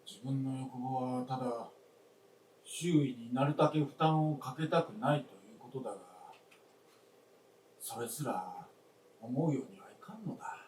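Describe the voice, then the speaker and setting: Actor as gruff elderly father, roomy stage recording. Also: mid-range voice, normal speed, long pauses, darkish tone, lightly acted. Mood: weary, resigned. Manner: gruff, blunt old-fashioned speech. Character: stubborn, weary elderly father living alone. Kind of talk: Japanese radio drama acting on stage. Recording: roomy distant stage recording, faint noise.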